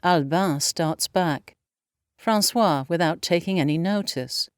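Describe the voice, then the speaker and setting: Woman reading out stage directions, lowish voice, scripted, very dry studio sound. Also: slow pace, smooth, no room sound. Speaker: narrator.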